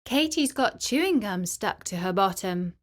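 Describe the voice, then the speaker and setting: A woman voicing a sulky, dramatic schoolgirl in a children's storybook reading, exclaiming loudly. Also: medium pitch, animated, unhurried, lightly acted. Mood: gleeful mocking.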